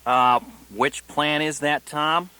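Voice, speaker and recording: A man's voice: fairly high pitch, fairly even intonation, slow, bright, forceful tone, smooth. An actor in a satirical radio play playing a clueless, hesitant male president who dithers vaguely. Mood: dithering.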